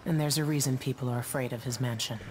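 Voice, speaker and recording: A woman playing a gruff, wary middle-aged ship captain in a video game, with terse, guarded lines. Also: a bass voice, steady tone, fast, noisy background. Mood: businesslike. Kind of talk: video game cutscene acting.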